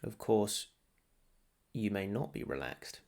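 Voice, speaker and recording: A man leading a guided meditation as a teacher, soothing and unhurried, leaving long pauses between short phrases. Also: lowish voice, animated, darkish tone. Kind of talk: guided meditation, calm instruction.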